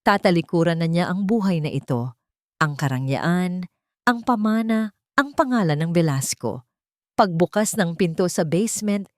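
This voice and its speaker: Woman, dramatic storytelling, slightly low pitch, lively melody, expressive, dry studio-like sound. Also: no echo. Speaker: story narrator. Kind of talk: dramatic scripted narration of a love story.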